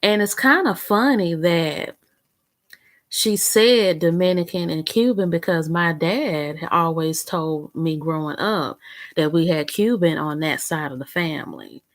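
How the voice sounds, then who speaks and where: Chatty young woman with a lowish voice. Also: measured pace. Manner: chatty, rambling, conversational storytelling with fillers. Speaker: vlogger. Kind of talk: casual chat.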